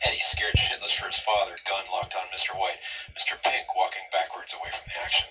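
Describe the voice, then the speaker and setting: A man's voice: low voice, little pitch movement, fast talker, music in the background. A man reading scripted narration for a radio play, heard over a marine radio. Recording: muffled distant marine radio transmission.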